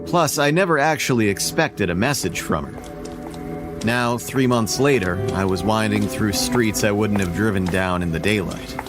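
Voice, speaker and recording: A man's voice: on the low side, measured pace, music in the background. An actor in his thirties narrating a horror story in the first person in a dry, sardonic way. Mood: wry. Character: wry man narrating his own ordeal. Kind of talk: scripted horror audio drama narration.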